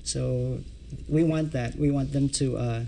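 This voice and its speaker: A man's voice: mid-range voice, fairly even intonation, vocal fry, mellow. A man speaking answers interview questions. He starts and restarts his sentences and leans on fillers as he works out each point. A faint hiss sits under the recording. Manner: halting, many restarts and fillers. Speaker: guest, theater group member.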